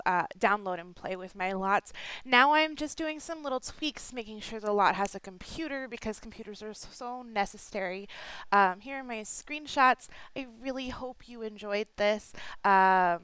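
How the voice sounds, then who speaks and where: A woman's voice: mid-range voice, a bit bright, clean tone, no room sound, rough recording. A young woman with a stuffed-up nose giving a rambling, apologetic casual voice-over, full of fillers and restarts, recorded close on a home mic. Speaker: game builder narrating a speed build.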